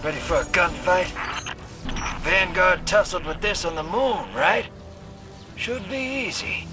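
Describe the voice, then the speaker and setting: A man's voice: high voice, unhurried. An actor playing a cocky, folksy, wisecracking rogue gunslinger in a video game, with a slangy, teasing showman's delivery. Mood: wry, cocky. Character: cocky, roguish gunslinging hustler, long-lived, folksy and wisecracking. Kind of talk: animated video game acting. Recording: music behind the voice.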